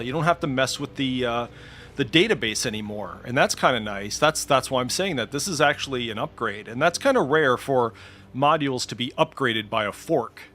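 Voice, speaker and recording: A man's voice: mid-range voice, a bit bright. Casual man thinking aloud over music. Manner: informal, hesitant, mildly profane, thinks aloud. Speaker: tech video creator. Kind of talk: casual unscripted screen-share walkthrough. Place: indoors at a computer.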